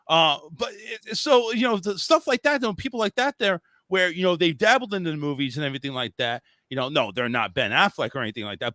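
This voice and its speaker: Man, talk show host, lively pitch, bright voice, crude jokes, dry sound. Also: dry recording. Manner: rambling, joking, crude. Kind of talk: casual talk show chat.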